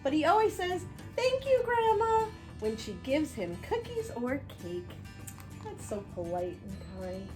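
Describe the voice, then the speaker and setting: A woman reads a picture book to young children as their teacher. Her voice is high and very animated, and she pauses to explain and ask questions. Soft music plays behind her. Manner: expressive, instructive, addressing young viewers directly. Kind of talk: storybook read-aloud with explanations for young children.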